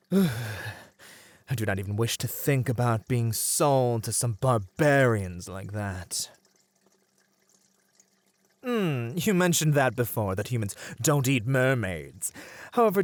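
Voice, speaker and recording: A man's voice: medium pitch, dry recording, close to an everyday voice. A man voicing a proud, bitter, melancholy captive merman in an ASMR roleplay, speaking in formal, eloquent phrases. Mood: uneasy, brooding, then accusing, bitter. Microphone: close studio mic, dry.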